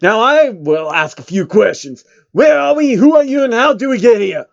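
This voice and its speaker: A man voicing a loud, blunt male rapper who speaks insistently, as part of a story reading. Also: extremely high pitch, animated, normal speed, creaky, lo-fi sound, pitched above the performer's own voice, heavily acted. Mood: loud, demanding.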